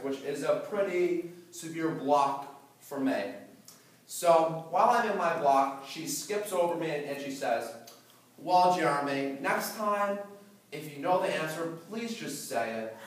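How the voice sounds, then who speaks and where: A man's voice: on the high side, a little slow. A teenage boy who stutters, giving a prepared talk to an audience, with repeated words and blocks. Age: teens. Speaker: student speaker. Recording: echoey hall, voice from far off.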